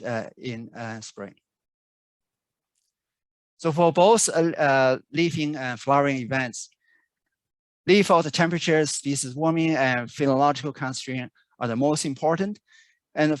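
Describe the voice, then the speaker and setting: Halting man giving a research talk. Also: medium pitch, no echo. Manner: halting, many fillers and restarts. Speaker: researcher presenting. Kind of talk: conference talk. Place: remote video call.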